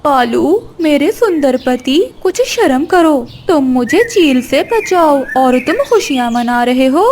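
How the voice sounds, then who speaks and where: A woman's voice: high-pitched, expressive, slow pace, darkish tone, slight background noise. A woman voicing a female crow, a pleading and reproachful wife in a children's cartoon. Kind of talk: children's cartoon story dialogue.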